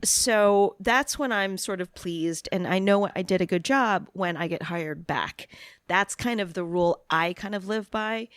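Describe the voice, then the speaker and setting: Woman from new york, chatty, laughs easily, self-deprecating, medium pitch, dry close sound. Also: a little slow, dry recording. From New York City, USA. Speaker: TV and media producer, guest. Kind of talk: casual interview conversation.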